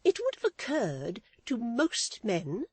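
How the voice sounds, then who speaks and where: A woman's voice: huge pitch swings, slow pace, a little mellow, slightly rough, dry recording, heavily acted. A woman voicing a middle-aged wife who scolds her husband with withering, self-righteous indignation. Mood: fretful. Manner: high-pitched, withering, sharply emphatic.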